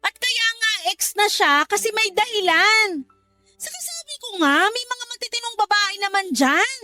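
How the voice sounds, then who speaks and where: A woman's voice: extremely high pitch, animated, moderate pace, heavily acted. An actress playing an outspoken, loyal young sister in an audio drama, who scolds her brother bluntly, comforts him tenderly, and narrates her own letter. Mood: reassuring. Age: young adult. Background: soft music under dialogue, louder music under narration.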